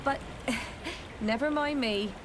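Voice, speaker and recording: A woman's voice: high voice, takes it slowly. A woman playing a loyal, reserved sailor in a video game, speaking carefully and with some hesitation. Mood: careful, uneasy. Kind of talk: video game dialogue acting. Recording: noisy outdoor harbour ambience.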